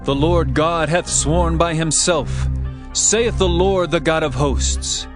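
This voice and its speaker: Solemn higher-voiced man reading scripture. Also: slow pace. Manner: solemn, declamatory. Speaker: Bible narrator. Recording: music under the voice. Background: background music.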